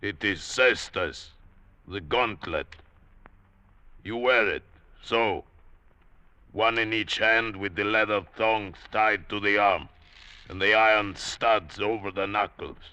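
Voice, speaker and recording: Slow, deep, menacing gladiator. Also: male voice, sharp tone, smooth, dry recording. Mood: cold menace. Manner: blunt broken English, slow and ominous. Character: huge scarred gladiator slave, grim and menacing. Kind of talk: old-time radio drama acting.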